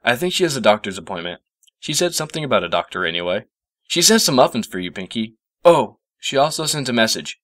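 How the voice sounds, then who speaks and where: A man's voice: mid-range voice, very animated, quick pace, a bit bright, dry recording, clean studio sound, voice pushed up. A man voicing a friendly young male cartoon dragon sidekick in a casual, chatty manner for a storybook reading.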